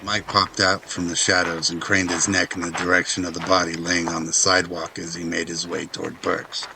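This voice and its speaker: A man's voice: deep voice, level tone, brisk, creaky, noisy background. A man reading scripted dramatic narration as the narrator of a story.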